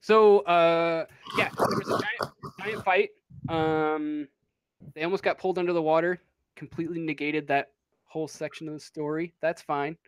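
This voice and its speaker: A woman narrating an improvised tabletop game as game master, speaking informally and haltingly. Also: low-pitched, fairly even intonation, clear, resonant tone, no echo.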